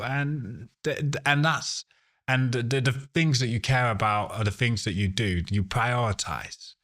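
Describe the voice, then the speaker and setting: A man from Ghana and the UK, answering conversationally as a podcast guest, with halting restarts. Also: medium pitch, fairly bright tone. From Ghana, later the UK. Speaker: podcast guest, sustainability professional.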